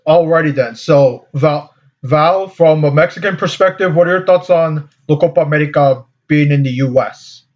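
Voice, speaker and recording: A man hosting a casual group discussion, speaking haltingly and often repeating words. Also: on the high side. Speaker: discussion host. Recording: slightly dull, lo-fi audio.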